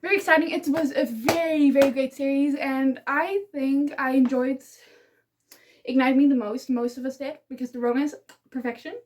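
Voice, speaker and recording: A young woman whose first language is Dutch, with a notably high voice. She talks casually and enthusiastically, rambling and giggling as she recommends books in a relaxed vlog. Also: normal speed. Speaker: book vlogger. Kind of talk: casual book review vlog.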